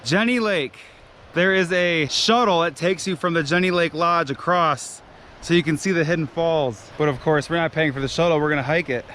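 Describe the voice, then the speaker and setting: A loud, chatty young man narrates his hike into a camera mic out on a forest trail, swearing freely as he goes. His voice sits a little higher than most men's, with faint outdoor noise around him. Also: moderate pace. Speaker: vlogger hiking a trail. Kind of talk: casual hiking vlog chat. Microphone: GoPro camera.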